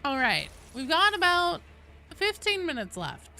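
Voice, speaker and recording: A woman livestreaming a video game, chatting casually and commentating in an informal, sweary, animated way. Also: very high voice, a little slow, faint music behind.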